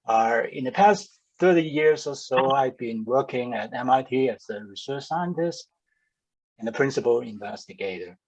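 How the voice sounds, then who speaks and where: An older man introduces himself in a careful, measured manner. His voice is husky, and the video call audio comes through muffled. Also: mid-range voice, animated. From Hong Kong. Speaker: panelist, scientist. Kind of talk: formal self-introduction.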